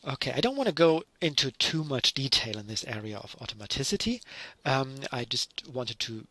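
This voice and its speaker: A man's voice: mid-range voice, sing-song, moderate pace, sharp tone, no room sound. A middle-aged man from Germany with a German accent, giving a recorded university lecture and explaining with many fillers and self-corrections.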